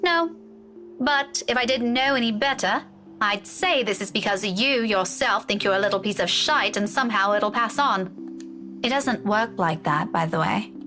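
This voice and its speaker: An actress playing a blunt, needling schoolteacher with grudging kindness in a visual novel. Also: female voice, mid-range voice, normal speed, sharp tone, faint music behind, lightly acted. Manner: frank, teasing, lecturing. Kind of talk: dramatic visual novel voice acting.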